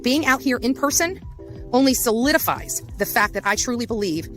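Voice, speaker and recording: A woman's voice: fairly high pitch, expressive, fairly quick, soft background music. A woman giving blunt, certain commentary on location for a video. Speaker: online case commentator.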